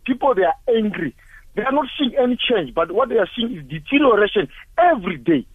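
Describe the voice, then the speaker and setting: A man's voice: high voice, big pitch swings, a little fast, husky, no echo. A man in his forties from Zimbabwe, calling in to a talk show and speaking with anger through repeated rhetorical questions. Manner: impassioned, angry, repetitive rhetorical questions. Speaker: caller. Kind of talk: emotional call-in comments. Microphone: phone. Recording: tinny phone line.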